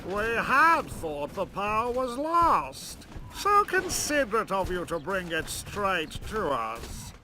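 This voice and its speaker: Casting: sneering, grandiose game villain, high-pitched and animated, a fanatically loyal imperial officer. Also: male voice, takes it slowly, few pauses, a little hiss or hum, full character voice. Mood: smug taunting. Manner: haughty, grandiose, theatrical. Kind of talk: theatrical video game villain acting.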